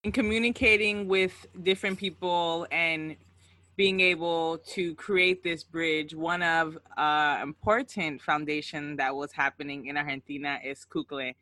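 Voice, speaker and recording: Bright-voiced woman podcast host. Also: low voice, steady tone, a little slow, no room sound. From United States. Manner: upbeat, effusive introductions. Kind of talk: warm podcast interview.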